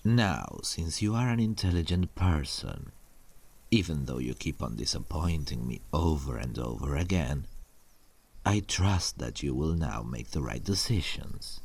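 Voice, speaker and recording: A man playing a menacing, possessive medieval king in an audio roleplay, condescending and coldly threatening, with a gravelly, husky voice. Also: very deep voice, lively intonation, a little slow, darkish tone, heavily acted. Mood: cold menace. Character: menacing, possessive warlord king threatening his captive queen.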